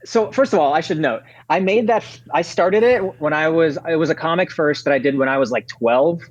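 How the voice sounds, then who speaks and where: A man's voice: on the high side, a little fast. A man in his thirties, originally from Ukraine, chatting casually as a podcast guest, rambling with many fillers and restarts. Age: 30s. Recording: dull lo-fi audio.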